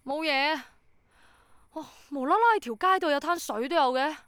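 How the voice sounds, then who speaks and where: A woman's voice: high-pitched, normal speed, fairly bright tone, no echo, clean studio sound. An actress playing an easily flustered, skeptical young woman in a radio drama, with a Hong Kong accent. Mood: puzzled, dismissive. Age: young adult. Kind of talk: Cantonese radio drama acting and first-person narration.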